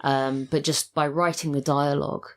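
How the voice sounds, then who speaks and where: A woman's voice: low-pitched, little pitch movement, normal speed. A woman chatting casually as an interview guest, thoughtful and correcting herself as she talks. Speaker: podcast guest, audio drama writer.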